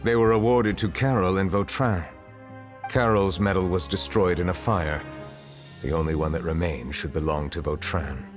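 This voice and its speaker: A man voicing a grave, composed chief justice in a video game, questioning in a formal, measured way. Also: deep voice, close to an everyday voice. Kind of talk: scripted video game dialogue. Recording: long hall reverb, music behind.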